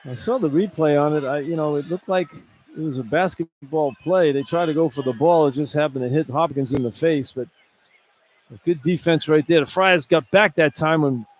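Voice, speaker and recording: Male basketball analyst, slightly high pitch, conversational radio commentary, explanatory, repetitive phrasing, faint arena noise. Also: normal speed. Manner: conversational, explanatory, repeats himself. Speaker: color analyst. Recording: arena noise behind live broadcast. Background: arena ambience.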